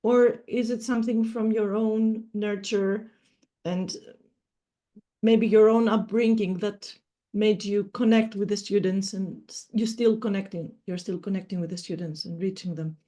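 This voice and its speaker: Woman, interviewer, mellow tone, rambling questions, self-correcting. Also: medium pitch, steady tone, a little slow.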